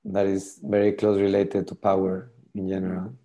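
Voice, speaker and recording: Low mellow-voiced French man. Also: completely flat delivery, moderate pace. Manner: hesitant, repeats words while thinking. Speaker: tool demonstrator. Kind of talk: casual video call demo. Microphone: video call.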